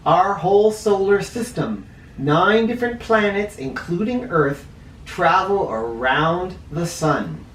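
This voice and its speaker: A man reads a children's picture book aloud in a roomy, echoey space, a little way from the mic. He has a medium-pitched voice and explains as he goes, slipping into informal asides. Faint noise sits under the recording. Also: lively intonation, takes it slowly, fairly bright tone, recorded from a distance. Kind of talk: read-aloud storytelling with teaching asides.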